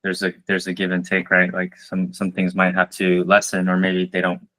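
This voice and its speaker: A man's voice: low voice, completely flat delivery, moderate pace, bright, forceful tone. A man hosting an interview, asking open questions and thinking aloud as he goes. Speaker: podcast host.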